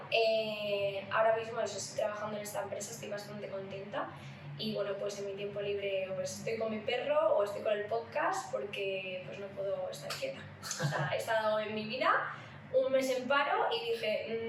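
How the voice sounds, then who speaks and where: A woman's voice: mid-range voice, normal speed. A woman with a Spanish accent from Spain, chatting casually and playfully as a podcast co-host. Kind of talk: casual chat. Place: room at home. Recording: very echoey room, distant mic, faint noise.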